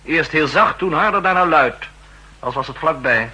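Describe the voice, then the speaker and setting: An actor playing an eager, earnest young intelligence officer with some stubbornness, in the style of a 1960s radio drama. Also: male voice, fairly high pitch, expressive, moderate pace, sharp tone, slightly rough, full character voice, rough recording. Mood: frustrated, reporting. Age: young adult.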